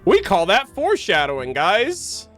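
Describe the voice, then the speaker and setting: Animated, bright-voiced male game streamer. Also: high-pitched, unhurried, faint music behind. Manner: joking, slangy. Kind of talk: casual reaction commentary on a game.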